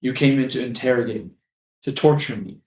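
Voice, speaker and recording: Male prisoner, played by a man at a stage reading: dreamy, poetic, defiant refugee, medium pitch, roomy echo. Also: fairly quick, darkish tone, close to an everyday voice. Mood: intimate, dreamy.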